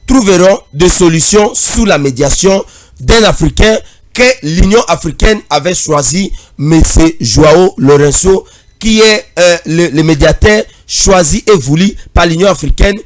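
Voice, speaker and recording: High-pitched Congolese man, political commentary. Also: lively intonation, unhurried. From Democratic Republic of the Congo.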